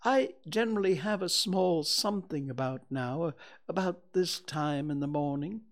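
A storybook reader voicing a humble, slow-witted, dreamy toy bear with a hesitant, wistful manner. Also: mid-range voice, animated, creaky, voice pushed up, full character voice.

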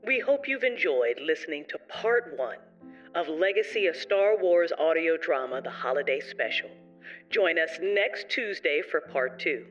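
An announcer reads the scripted closing credits of an audio drama. Music plays clearly behind, and the voice is slightly away from the mic. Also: medium pitch, a bit bright, recorded from a distance, lo-fi sound.

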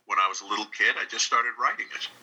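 A man's voice: fairly low pitch, fast, sharp tone, breathy edge, poor audio. A man from New York answering interview questions over a video call in a conversational, encouraging, plain-spoken way. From New York, United States. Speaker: interview guest, radio drama director and instructor.

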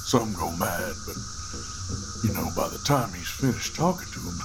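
Ominous, wildly animated mountain storyteller. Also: male voice, low voice, normal speed, vocal fry, noisy background. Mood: foreboding. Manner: dramatic, foreboding storytelling. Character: ominous mountain local telling of a dangerous seducer. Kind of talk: folk horror audio drama acting. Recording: voice under loud music.

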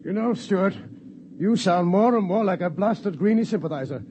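A blustering old colonel. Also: male voice, high voice, expressive, normal speed, warm tone. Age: older. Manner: blustering, pompous. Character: pompous old British army colonel. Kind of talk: 1950s radio drama acting. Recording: dull old radio recording with faint hiss.

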